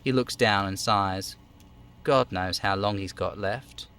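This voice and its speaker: A man streaming a role-playing game, reading it aloud in a theatrical, expressive way with casual asides. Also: fairly low pitch, takes it slowly, smooth.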